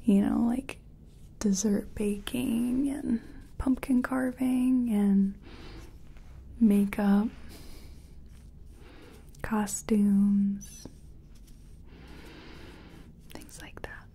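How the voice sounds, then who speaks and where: A woman acting out a friendly makeup artist in an ASMR role-play. She whispers in a breathy, mellow voice and takes her time, chatting and reassuring softly as she works. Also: on the high side, fairly even intonation, full character voice. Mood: gentle, chatty. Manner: gentle, unhurried, chatty, reassuring. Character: friendly, self-taught makeup competition contestant. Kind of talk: soft-spoken ASMR personal attention role-play.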